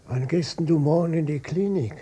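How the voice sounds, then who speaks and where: A man's voice: a little high, normal speed, warm tone, husky. An actor playing a self-centred old father in a radio drama, grumbling, resigned and repetitive. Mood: dismissive. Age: older. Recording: noisy cassette copy of radio play.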